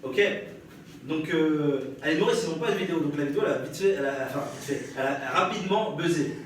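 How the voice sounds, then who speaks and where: A young French-accented man tells stand-up stories, trading banter with the crowd and breaking into laughter. His voice sits a touch high and reaches the mic from a distance. A very echoey live room with clear audience noise surrounds him. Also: fast. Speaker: stand-up comedian. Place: live comedy stage before an audience.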